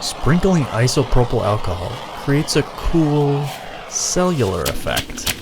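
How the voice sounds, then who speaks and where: A man's voice: mid-range voice, sing-song, takes it slowly. A man giving scripted, mock-documentary narration in formal, elaborate wording for a DIY craft video. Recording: clear background noise.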